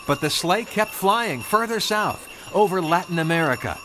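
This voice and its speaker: A man's voice: sits high, expressive, moderate pace, noisy background. A man narrating a scripted story dramatically, with conversational asides. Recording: music under the voice.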